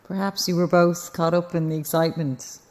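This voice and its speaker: A woman's voice: fairly low pitch, fairly even intonation, a little fast, a little mellow, close to an everyday voice. An actress playing a sceptical, stubborn female news reporter in an audio drama, with an Irish accent and a blunt, probing manner. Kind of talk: naturalistic audio drama acting.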